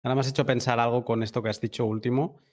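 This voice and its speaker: Male podcast interviewer, average pitch, conversational, reflective, thinking aloud. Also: steady tone, racing, darkish tone.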